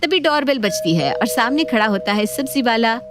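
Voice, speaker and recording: Higher-pitched woman narrating a story. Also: lively intonation, normal speed, over music.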